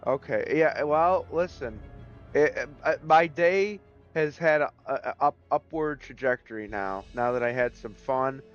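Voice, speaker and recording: A hoarse man chats loosely as he hosts a gaming stream, rambling a little and signing off with jokey farewells. His voice is starting to crack. The audio is slightly dull, with faint music underneath. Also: medium pitch, unhurried. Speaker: stream host. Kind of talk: casual gaming stream chat.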